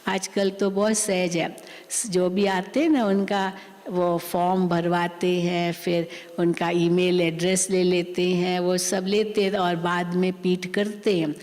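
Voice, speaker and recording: A woman spiritual teacher giving a sermon, explaining a scripture reading slowly and repeating her points. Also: lowish voice, little pitch movement, darkish tone. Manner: slow, repetitive, explanatory. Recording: long hall reverb.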